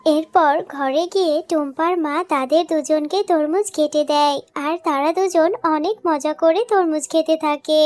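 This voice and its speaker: A woman's voice: high voice, steady tone, normal speed, hardly any pauses, a little mellow, soft background music. A woman reading scripted narration for a children's cartoon story.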